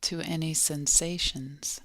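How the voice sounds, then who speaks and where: A woman leading a guided body scan meditation in a gentle, measured manner, leaving long pauses between short phrases. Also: low-pitched, steady tone, dry recording.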